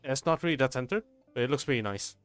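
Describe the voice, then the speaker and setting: Animated male game streamer, casual chatter. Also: mid-range voice, fast, clean tone, faint music behind. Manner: informal, muttering to himself, repeating phrases. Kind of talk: casual livestream gameplay chat.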